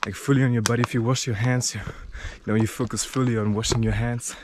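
A man's voice: mid-range voice, fairly even intonation, fast talker. Breathless young German-accented man vlogging. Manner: laid-back, rambling, lots of "like" and swearing. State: out of breath from climbing steps. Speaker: travel vlogger. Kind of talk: casual vlog talk while hiking. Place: outdoors on a rocky mountain trail. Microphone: handheld camera at arm's length. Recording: outdoor camera audio with clear background noise.

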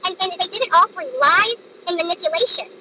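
A middle-aged American woman talks in a very high voice over loud vehicle noise. She rambles insistently in a casual vlog style, and the sound is muffled, picked up inside a car. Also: quick pace. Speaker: online creator. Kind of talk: casual vlog monologue to viewers.

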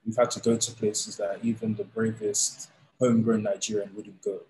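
Man in his thirties, lowish voice, easygoing storyteller, faint background hiss; video call guest. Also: moderate pace, poor audio. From England. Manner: relaxed, animated storytelling with fillers. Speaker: founder of a fighting league, guest. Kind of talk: casual interview. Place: indoors, plain room. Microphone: studio mic on arm, video call.

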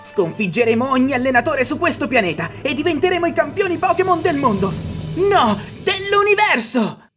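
A woman's voice: sits high, sing-song, moderate pace, fairly bright tone, faint music behind. A woman voicing a brash, demanding ten-year-old boy in a cartoon parody dub. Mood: boastful. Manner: insistent, cocky.

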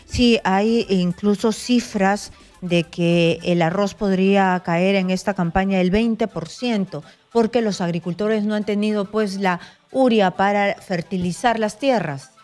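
A middle-aged woman anchoring a news interview from a studio, asking brisk, direct questions. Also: medium pitch, a little mellow, soft background music. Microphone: desk mic.

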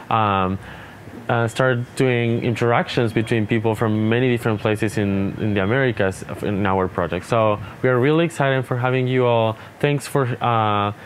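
A young man from Uruguay introducing himself as project director, friendly and halting, speaking outdoors into a lapel mic. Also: mid-range voice, a little hiss or hum. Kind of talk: short staff introduction to camera. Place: outdoors beside a house, wooded yard.

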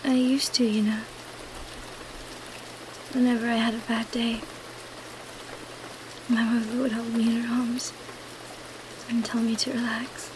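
A woman's voice: a little high, level tone, unhurried, long pauses, noisy background, natural voice. A woman playing a cunning female vampire who feigns weakness, in an ASMR roleplay. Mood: soothing, wistful.

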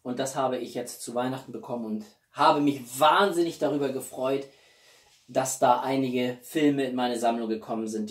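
A man's voice: a little high, darkish tone. A man, chatting casually and expressively to camera as a video host at home. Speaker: YouTube host. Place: small living room at home, indoors. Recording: roomy echo, distant microphone.

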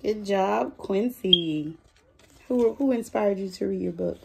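A woman's voice: medium pitch, slow pace, creaky. A woman in her thirties talking casually and encouragingly on a phone video at home. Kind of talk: casual home video talk to camera and child. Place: small room at home, indoors. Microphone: phone at arm's length. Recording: faint background noise, home phone video.

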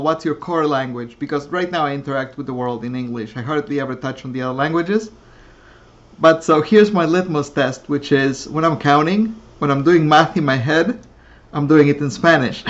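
A woman who grew up speaking Russian, hosting an interview over a video call in a measured, reflective way. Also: low voice. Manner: measured, reflective, thinks in analogies. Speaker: host. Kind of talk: interview conversation. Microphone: webcam on a video call. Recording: dull lo-fi video call audio.

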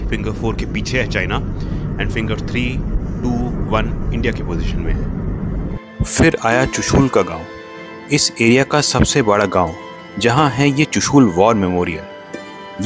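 A man with an Indian accent narrating a road-trip travel video in scripted voice-over, moving between Hindi and English. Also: on the low side. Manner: mixes Hindi and English, steady storytelling. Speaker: travel vlogger.

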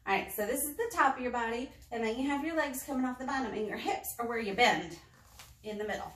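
An American woman in her thirties hosting a children's storytime, expressive and talking directly to small children in a room. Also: on the high side, quick pace. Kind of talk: children's storytime read-aloud with singing. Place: small indoor meeting room. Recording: roomy indoor echo.

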